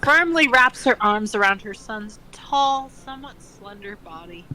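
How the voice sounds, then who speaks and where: A woman doing a comedic dramatic reading, mock-earnest and halting, with slangy joking asides and uneven volume. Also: a little high, animated, sharp tone, poor audio. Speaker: co-host reading fan fiction aloud.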